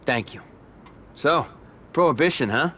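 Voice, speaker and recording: A businesslike young-blooded mafia boss from a video game. He talks tersely and confidently in a medium-pitched voice, with noticeable vehicle ambience behind him. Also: animated, unhurried, a bit bright, a little hiss or hum. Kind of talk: video game cutscene acting.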